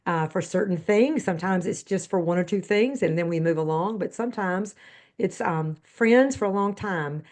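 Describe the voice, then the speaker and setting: A woman's voice: on the low side, moderate pace, darkish tone. A woman hosting a faith-based podcast interview, chatty and a little rambling. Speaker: podcast host.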